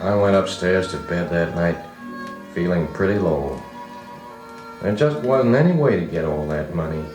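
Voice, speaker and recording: Nostalgic farm-boyhood narrator, children's radio drama, unhurried, lively pitch, roomy old record, music behind. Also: male voice. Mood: reflective storytelling. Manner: unhurried, expressive storytelling. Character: grown man recalling his farm boyhood as storyteller.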